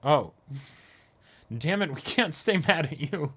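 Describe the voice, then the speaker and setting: A man's voice: on the high side, animated, slow, sharp tone, slightly rough. A man co-hosting an anime reaction show, chatting casually, checking in with "you know" and "right" and quoting imagined lines. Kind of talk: casual discussion of an anime episode.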